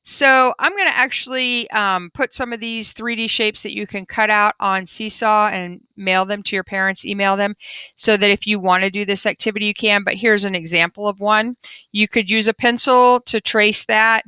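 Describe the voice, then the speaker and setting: A woman's voice: medium pitch, steady tone, normal speed, bright, forceful tone, smooth, dry recording. A woman teacher reading a children's book aloud and adding simple explanations for young pupils. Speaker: primary school teacher.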